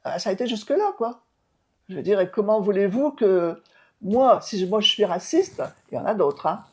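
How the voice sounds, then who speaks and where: A woman's voice: mid-range voice, lively intonation, normal speed, a little mellow, husky. A woman in her seventies, an outgoing mayor, answering interview questions emphatically with many hesitations and restarts. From France. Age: 70s. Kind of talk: local TV interview.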